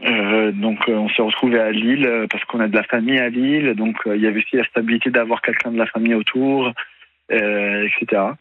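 A man's voice: lowish voice, fairly even intonation, fairly quick, noisy background. Young guy from italy, no accent, lots of euh and restarts, sounds like a phone line. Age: young adult. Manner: hesitant, many fillers and restarts. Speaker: interview guest. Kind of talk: radio interview. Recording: dry tinny phone-like radio sound.

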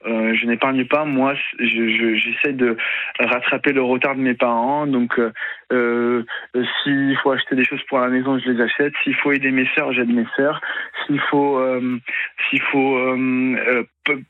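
A man's voice: medium pitch, little pitch movement, unhurried, dry recording. A young man in his twenties, a radio interview guest, speaking hesitantly with many filler sounds and restarts. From Italy. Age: 20s. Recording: tinny phone line.

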